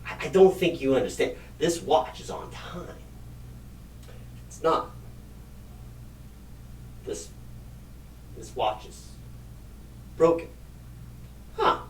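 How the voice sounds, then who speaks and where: A man playing a clueless, flustered job recruiter in an ASMR comedy roleplay, stammering and repeating himself in a husky, halting voice. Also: on the high side, expressive, a little hiss or hum, low-quality recording. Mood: confused, alarmed. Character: flustered, clueless, absent-minded male job recruiter, apologetic and rambling. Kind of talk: ASMR comedy roleplay, celebrity-style impression.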